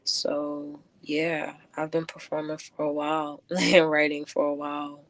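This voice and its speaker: Low-voiced woman, thoughtful and halting. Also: flat delivery, slight background noise, low-quality recording. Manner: thoughtful, halting, many filler likes. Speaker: guest poet and lyricist. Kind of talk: reflective radio interview answers. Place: close-miked radio show.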